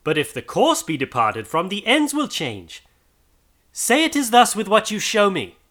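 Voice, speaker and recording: A trembling old miser pleads in a strained, quavering voice that climbs high. A man acts him out in an audiobook. His speech swings widely in pitch, with a sharp, bright edge. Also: lively intonation, moderate pace. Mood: pleading. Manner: emotional, theatrical delivery. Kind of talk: audiobook character voice.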